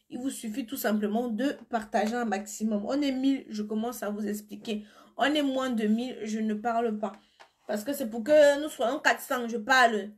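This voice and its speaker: A woman with a cold and flu chatting on a live stream from her phone, answering viewers' comments and turning blunt when she insists on something. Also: fairly high pitch. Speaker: beauty seller on a live stream. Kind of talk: casual live stream chat.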